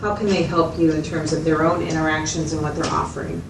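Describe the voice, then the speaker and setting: A woman's voice: deep voice, fairly even intonation, fast talker. A woman playing a patient mental health facilitator in a role-played client interview, asking checking questions and summing up with hesitant phrasing. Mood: calm, methodical. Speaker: clinician facilitator. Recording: roomy, distant mic, loud background noise.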